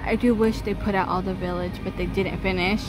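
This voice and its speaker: A woman vlogger chatting casually as she films a store walkthrough on a handheld phone, reading out prices and laughing softly. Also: medium pitch, steady tone, fairly quick, rough recording. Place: large retail store, indoors. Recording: store music over the voice. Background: holiday music playing in the store.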